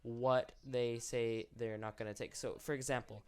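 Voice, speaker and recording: Young dude hosting a youtube rant, kinda sick n losing his voice, rambles n restarts, smooth tone. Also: mid-range voice, little pitch movement, normal speed, darkish tone. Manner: rambling, self-correcting, candid. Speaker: YouTube channel host. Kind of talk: unscripted commentary.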